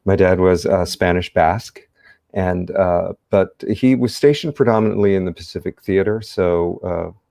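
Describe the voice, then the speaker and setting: A man's voice: deep voice, moderate pace, creaky, warm tone, no room sound, clean studio sound. An older man from San Diego, a theater actor, chatting as a guest and telling stories in a reflective, rambling way. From San Diego, United States. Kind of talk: casual interview chat, storytelling.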